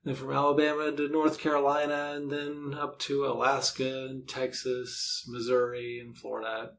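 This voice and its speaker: A man's voice: mid-range voice, level tone, moderate pace, creaky, a bit bright. A middle-aged American man chatting casually and easily as an author being interviewed. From United States.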